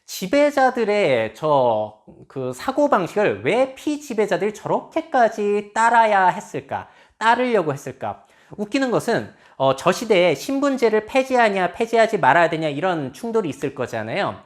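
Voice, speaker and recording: 굴곡 많은 말투의 여성 강연자. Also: female voice, mid-range voice, big pitch swings, normal speed. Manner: explanatory, asks the audience rhetorical questions. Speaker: lecturer. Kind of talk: lecture on future trends.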